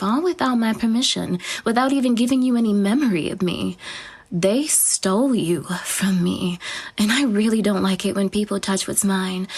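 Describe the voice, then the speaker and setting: A woman voicing a vain, possessive goddess in an audio roleplay, with a breathy, whispery voice and a self-adoring, teasing manner. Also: mid-range voice, a little mellow, close to an everyday voice. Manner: self-adoring, teasing, sing-song swings up. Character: vain, possessive female goddess, adult, obsessive.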